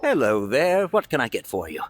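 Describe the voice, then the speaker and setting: A man's voice: mid-range voice, wildly swooping pitch, normal speed, background noise. A man voicing a friendly, obliging restaurant keeper in a video game, courteous and chatty. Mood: welcoming. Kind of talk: video game character acting.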